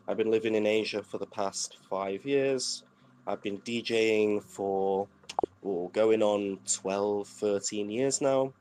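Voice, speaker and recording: A man's voice: on the low side, takes it slowly, a little hiss or hum. A British man in his thirties, a guest DJ, chatting casually and telling his story with lots of fillers. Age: 30s. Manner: relaxed storytelling with frequent fillers. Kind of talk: casual interview chat.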